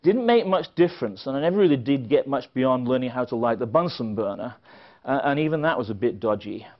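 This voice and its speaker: A man's voice: mid-range voice, lively intonation, brisk. A middle-aged man from England, giving a keynote speech at a conference and telling stories with dry, wry asides. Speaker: conference speaker. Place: conference hall with a seated audience. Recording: dull, lo-fi sound.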